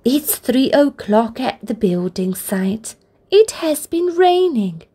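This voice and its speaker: A woman reading a picture book aloud for toddlers, with deliberate, expressive phrasing and encouraging asides. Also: high-pitched, breathy edge. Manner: deliberate, expressive phrasing aimed at small children. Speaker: children's storybook reader, channel host.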